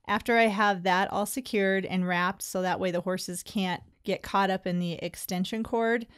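A middle-aged woman narrating her own how-to video about her barn. She explains each step plainly and in a friendly way, at an everyday pitch. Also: smooth. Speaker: horse owner vlogger.

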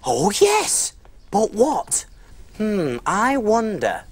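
A woman's voice: medium pitch, huge pitch swings, extremely slow, background noise, full character voice. A woman playing a cheerful cafe cook on a children's show, talking with enthusiasm.

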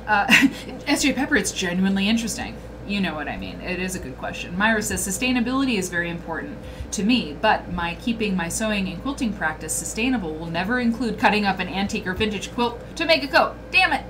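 A woman's voice: medium pitch, big pitch swings, fast talker, background noise. A woman hosting a casual livestream chat from a small room, reading viewer comments aloud and talking to them directly. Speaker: host.

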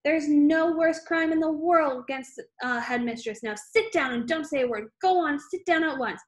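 Read aloud from a storybook, this is a raging headmistress who roars her threats and rants without pause, as a woman puts her on. The voice sits on the high side and stays loud and hard. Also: animated. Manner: roaring, ranting threats. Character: furious bullying headmistress, a big woman.

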